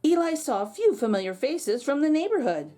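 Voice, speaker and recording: Female kids' read-aloud host: higher register, swooping expressive delivery, dramatic storybook pacing, light music bed. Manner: animated, dramatic storytelling with drawn-out words. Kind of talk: expressive storybook narration for kids.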